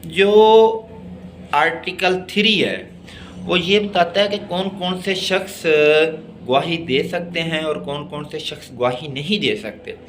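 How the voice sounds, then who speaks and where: Slow-speaking Pakistani man giving a lesson. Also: fairly high pitch, a bit bright, a little hiss or hum. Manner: deliberate, word-by-word when reading, explanatory. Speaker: law lecturer. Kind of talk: exam-oriented law lesson, reading English text and explaining it.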